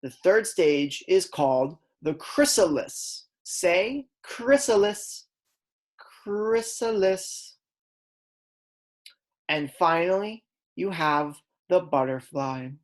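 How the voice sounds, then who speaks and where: Female kindergarten teacher, low register, unhurried read-aloud for small children, deliberate emphasis on key words. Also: animated.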